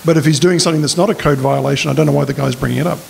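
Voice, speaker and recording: A man's voice: fairly high pitch, fairly even intonation, very fast, creaky. A middle-aged man with an Australian accent, a political candidate giving a speech and answering questions at a public forum, speaking plainly into a stand mic in a community hall. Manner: plain-spoken, hesitant restarts when answering questions. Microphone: stand mic at a lectern. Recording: faint hiss in a hall.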